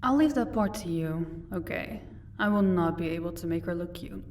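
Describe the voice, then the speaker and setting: A woman's voice: fairly low pitch, lively intonation, moderate pace, darkish tone, far from the mic. Proud female vampire captor for audio drama, played by a woman: commanding, dry sarcasm, off-mic sound with faint noise. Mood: dryly teasing. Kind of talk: audio roleplay acting.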